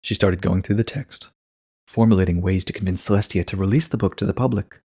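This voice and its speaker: A man's voice: low voice, a little fast, dark tone, husky. A man narrating a short story as a scripted dramatic reading.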